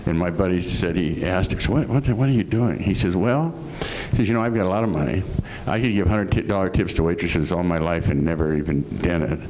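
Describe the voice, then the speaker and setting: Very deep-voiced older man telling a story. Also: a little fast, hardly any pauses, darkish tone, slight background noise, rough recording. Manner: anecdotal, quotes others, hesitant fillers. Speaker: guest philanthropist. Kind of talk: storytelling at a panel.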